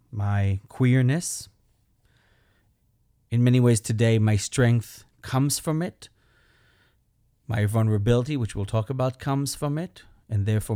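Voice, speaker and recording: A man from Belgium with a Belgian accent, a rabbi hosting his show and talking earnestly and personally, with gentle humor. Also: medium pitch, dry recording, clean studio sound. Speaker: rabbi and podcast host. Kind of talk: reflective solo talk.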